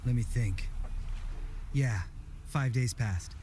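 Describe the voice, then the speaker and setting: An actor playing an irritable, cocky fighter in a video game, brash and sarcastic. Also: male voice, medium pitch, takes it slowly, noisy background, close to an everyday voice. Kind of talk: video game cutscene acting.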